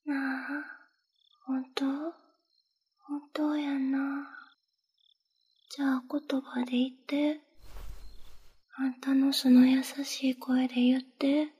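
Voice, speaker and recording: A young woman with a Kansai accent voicing a clingy, possessive, lovesick young woman in a breathy close whisper for an ASMR roleplay. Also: sits high, little pitch movement, slow pace, pauses often, warm tone. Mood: pleading.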